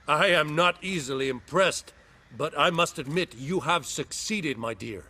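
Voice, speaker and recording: An actor playing a haughty general of an invading empire in a fantasy game, formal, commanding and disdainful. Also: male voice, on the high side, takes it slowly, pushed and bright, breathy edge, full character voice. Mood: grudging, curt. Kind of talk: fantasy video game acting.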